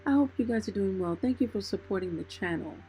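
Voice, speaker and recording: A woman's voice: medium pitch, expressive, quick pace, mellow, a little hiss or hum, low-quality recording. A woman hosting a Bible reading livestream, speaking to listeners in a friendly way, both chatting and reading aloud. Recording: music playing behind the voice. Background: background music.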